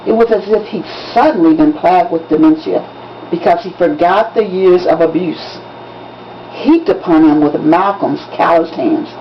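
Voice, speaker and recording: A woman, an author reading from a novel in an expressive, dramatic audiobook style with emphatic storytelling. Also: fairly low pitch, moderate pace. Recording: dull lo-fi recording with background noise.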